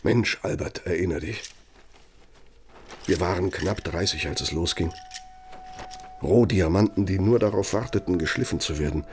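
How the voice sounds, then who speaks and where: An actor playing a self-important ageing German architect who dictates in a pompous, deliberate, rhetorical manner, as in a radio play. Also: male voice, low-pitched, background noise, close to an everyday voice. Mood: grandiose, bitter.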